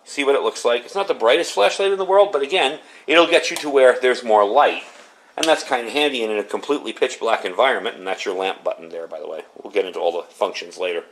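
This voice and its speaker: A man's voice: mid-range voice, fast talker, fairly bright tone, rough recording. A man giving a casual, unscripted product demonstration in a plain-spoken, explanatory, conversational way. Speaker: product reviewer.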